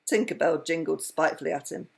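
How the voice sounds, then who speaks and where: An older woman, a grandmother, reading a bedtime story in an animated, affectionate way. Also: low-pitched, quick pace, gravelly.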